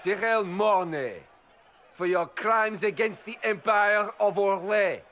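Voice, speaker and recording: A man's voice: high-pitched, slow pace, a bit bright. A man playing a stern official in a video game, projecting a formal proclamation. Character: stern male official reading out a death sentence.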